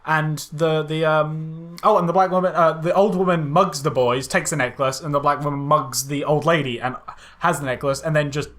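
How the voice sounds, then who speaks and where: A man's voice: a little high, steady tone, moderate pace, a bit bright. A man co-hosting a casual, chatty film critique in a sardonic, exasperated manner. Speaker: podcast co-host.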